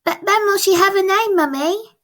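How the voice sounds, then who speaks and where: A woman's voice: very high-pitched, normal speed, fairly bright tone, voice pushed up. A woman voicing a curious little girl in a storybook, with a childlike, questioning manner. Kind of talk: storybook character voice.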